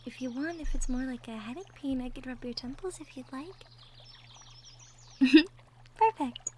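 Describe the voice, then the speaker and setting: A woman playing a gentle, caring young girlfriend from the woods in a soft, intimate romantic ASMR roleplay, with tender murmurs. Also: high voice, unhurried, slight background noise. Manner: soft, tender, breathy murmurs with light giggles. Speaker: audio roleplay performer. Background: birdsong and outdoor nature ambience effects.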